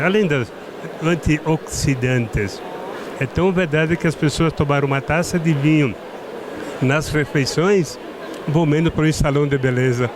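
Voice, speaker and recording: Middle-aged guy with a thick italian-tinged accent, kinda high voice, stops and restarts a lot, noisy fair all around. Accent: Italian-tinged Brazilian Portuguese. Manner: halting, often restarts and repeats words. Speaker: winemaker guest. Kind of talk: casual interview at a trade fair. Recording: noisy trade fair ambience.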